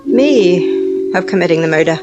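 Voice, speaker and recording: An actress playing a secretive, suspicious nurse in an old-time radio thriller, speaking in an intense, pointed, conspiratorial way. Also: female voice, lowish voice, animated, moderate pace, highs cut off, loud music behind the voice, lightly acted. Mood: accusing.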